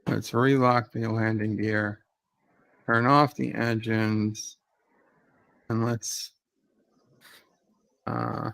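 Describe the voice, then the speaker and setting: A man streaming a game, slowly and methodically talking through what he is doing. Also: lowish voice, flat delivery, creaky, a bit bright, no echo. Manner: slow, methodical, thinking aloud. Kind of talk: casual gameplay narration.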